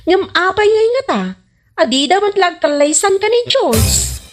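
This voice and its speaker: Actress as outspoken young Ilocano stallkeeper, radio comedy drama; high pitch, very lively intonation. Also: female voice, measured pace, over music, full character voice. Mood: frank, teasing. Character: frank, teasing young woman running a snack stall.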